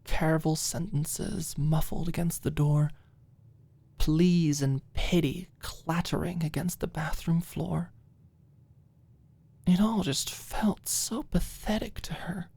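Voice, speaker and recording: A woman narrating a scripted story as a soft ASMR-style audiobook. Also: sits low, steady tone, slow, breathy edge.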